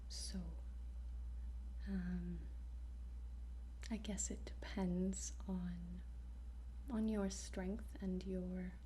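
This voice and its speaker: A woman speaking in a soft, breathy whisper as a guide in an ASMR roleplay, hesitant, with pauses between short phrases. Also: lowish voice, flat delivery, slight background noise, low-quality recording. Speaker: ASMR roleplayer playing a dragon training guide.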